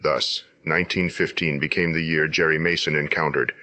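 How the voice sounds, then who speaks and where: A man reading a scripted summary of a story in plain, even narration. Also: rumbling low, moderate pace, dry recording.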